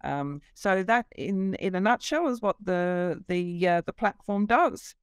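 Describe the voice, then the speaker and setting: Smooth-voiced woman explaining business. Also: on the low side, expressive, a little slow, no room sound, clean studio sound. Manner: fluent explainer, many fillers. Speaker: company CEO, guest. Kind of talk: explaining her business in an interview. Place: office. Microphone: video call.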